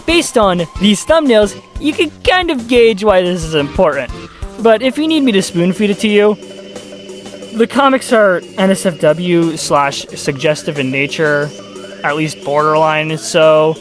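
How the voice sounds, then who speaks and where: Casting: exasperated, sarcastic YouTube commentator, casual and sweary, very lively intonation. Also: mid-range voice, unhurried, soft background music. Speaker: YouTube video essayist. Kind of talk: casual commentary with scripted narration.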